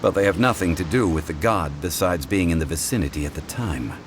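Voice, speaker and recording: A man voicing a calm, deep learned scholar. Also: noisy background, lightly acted. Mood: composed. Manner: composed, measured and soothing. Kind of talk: game voice acting. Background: game music.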